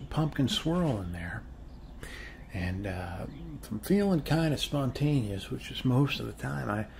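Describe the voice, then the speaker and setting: Friendly suburban neighbor guy a man acting, American, soft and gravelly, meandering folksy ASMR chat, slight background noise. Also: medium pitch. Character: friendly, wholesome, rambling suburban male neighbor. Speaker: ASMR role-play performer. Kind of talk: ASMR role-play, rambling casual chat. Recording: faint steady background noise.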